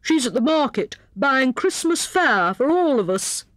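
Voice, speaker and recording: A whimsical male hare from a children's storybook, played by a woman. He is high-pitched and animated, with a pompous, self-important drawl. The pace is slow. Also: dry recording, studio quality. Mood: self-satisfied.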